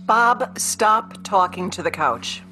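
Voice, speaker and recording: An actress playing a patient, practical ex-wife in a radio play, with a natural, placating way of talking. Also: female voice, medium pitch, animated, takes it slowly, sharp tone, soft background music. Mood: exasperated. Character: patient, practical career woman, an exasperated ex-wife.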